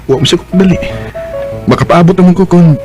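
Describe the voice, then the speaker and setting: A man's voice: sits high, a little fast, lightly acted. A man playing an earnest, hesitant, lovestruck young Cebuano working man in a Filipino radio drama. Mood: earnest, pleading. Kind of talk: Bisaya radio drama acting. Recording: music behind the voice.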